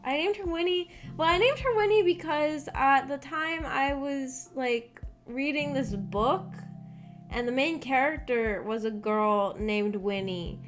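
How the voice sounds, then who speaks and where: A woman's voice: high voice, a little slow. A woman streamer chatting casually with viewers, telling stories in a rambling, hesitant way and reading out comments as she goes. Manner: rambling, hesitant storytelling with frequent "like". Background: background music.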